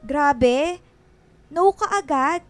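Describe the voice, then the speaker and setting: A woman's voice: high voice, animated, drawn-out words, heavily acted. A woman playing a teasing, bossy young best friend in a Filipino radio drama, exclamatory and slangy. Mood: teasing, exasperated. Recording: music behind the voice.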